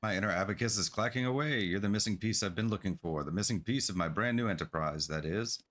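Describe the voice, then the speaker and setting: A man's voice: lowish voice, fast, fairly bright tone, natural voice. A man reading the part of an eager, polite merchant in a game, with effusive, formal sales patter. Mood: excited. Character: eager, polite merchant founder of a travel agency. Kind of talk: game quest dialogue read aloud. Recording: music behind the voice.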